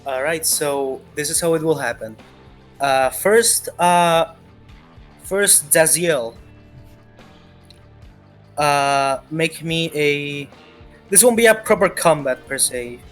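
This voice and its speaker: Male game master, slightly high voice, halting improvised narration, repeats himself, guitar music underneath. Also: fairly bright tone. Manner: halting, repeats phrases while thinking.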